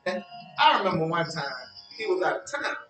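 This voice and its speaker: An actor in a stage play as a teasing, boastful ladies' man who spins his tale with drawn-out drama and stammering hesitations. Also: sits high, lively intonation. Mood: gleeful storytelling. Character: teasing, evasive storyteller named Henry, boastful ladies' man. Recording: roomy, distant stage recording with music.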